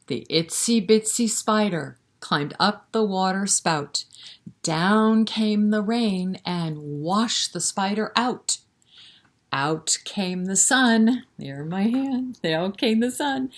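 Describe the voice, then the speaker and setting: A woman leading a storytime for young children, reciting nursery rhymes and talking to them directly in an encouraging, interactive way. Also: on the low side. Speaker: children's library storytime reader.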